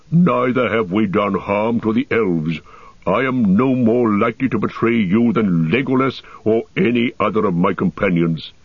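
A man doing a gruff, stubborn dwarf warrior. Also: fairly low pitch, no room sound, phone-quality sound, full character voice.

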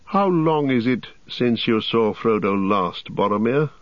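A man's voice: mid-range voice, expressive, a little slow, no echo, lo-fi sound, close to an everyday voice. A man voicing a grave, formal male ranger who leads with authority, for a storybook. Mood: questioning. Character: grave, commanding male ranger, leader of travellers.

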